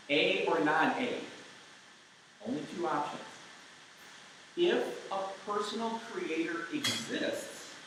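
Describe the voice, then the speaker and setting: A man speaking at a camp, explaining his points step by step in an emphatic way; he says he has a loud voice. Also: on the high side, a little hiss or hum. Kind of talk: live apologetics talk. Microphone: mic taken off partway. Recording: very echoey room.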